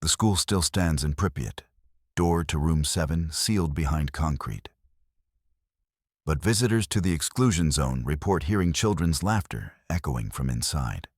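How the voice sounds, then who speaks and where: A man tells scripted horror stories with an extremely low, rumbling voice. His delivery is measured and ominous, and the recording is dry, with no room sound. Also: lively intonation, husky, dry recording. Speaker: narrator.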